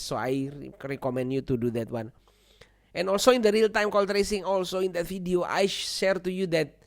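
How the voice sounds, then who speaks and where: A man who speaks English as a second language presents an informal software walkthrough, repeating himself and often checking in with the listener. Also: sits high. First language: not English. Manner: repetitive, seeks agreement with "okay" and "right". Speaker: tech tutorial presenter.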